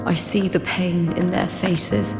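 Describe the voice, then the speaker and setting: A woman's voice: lowish voice, little pitch movement. A woman voicing a proud young champion warrior in a video game, speaking in earnest, solemn monologue, burdened by doubt yet defiant. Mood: ashamed, brooding. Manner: solemn, earnest, measured monologue with weighty pauses. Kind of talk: dramatic video game voice acting. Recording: loud music behind the voice. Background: loud game soundtrack music.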